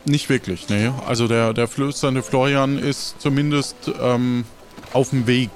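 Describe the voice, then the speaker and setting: A man's voice: mid-range voice, steady tone, a little slow. A man narrating an improvised adventure as game master in casual, conversational speech.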